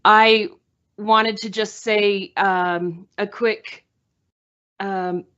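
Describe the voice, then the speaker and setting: Halting woman speaking over a dull remote link. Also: medium pitch, steady tone, fairly bright tone. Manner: halting, with frequent fillers and pauses. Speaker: school board member. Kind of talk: prepared remarks at a board meeting. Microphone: video call.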